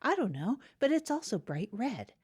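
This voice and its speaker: A woman hosting an improvised comedy podcast, talking in a conversational, deliberate, explanatory way. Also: fairly high pitch, big pitch swings, slightly rough, dry recording, studio quality. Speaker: podcast host.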